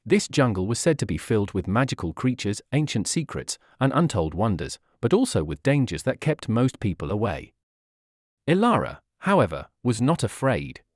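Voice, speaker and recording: Animated male fairy tale narrator. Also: on the low side, normal speed, no room sound, studio quality.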